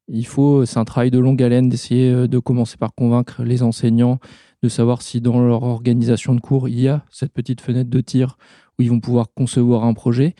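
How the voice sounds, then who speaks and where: A man organizing a science festival, answering interview questions in a measured, explanatory way with frequent restarts. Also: medium pitch, dark tone, no room sound, clean studio sound.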